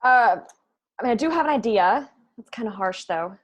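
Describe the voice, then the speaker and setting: A woman's voice: fairly high pitch, expressive, normal speed. A woman playing a brainy, precise young female planner at a comedy table read. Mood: tentative.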